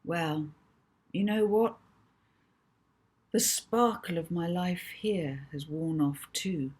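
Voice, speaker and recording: A woman's voice: fairly low pitch, takes it slowly, a little mellow, breathy edge. Lady doing a worried young girl in a kids' audiobook, earnest n confiding, bit hesitant. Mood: resolved, weary. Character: troubled young girl, earnest and self-doubting. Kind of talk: children's audiobook character dialogue.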